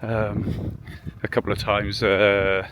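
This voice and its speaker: A British man with a fairly low voice chats off the cuff for a vlog. He is informal and sweary, rambling along conversationally over clearly audible background noise. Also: little pitch movement, takes it slowly, highs cut off. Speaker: vlogger. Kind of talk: casual rambling vlog chat.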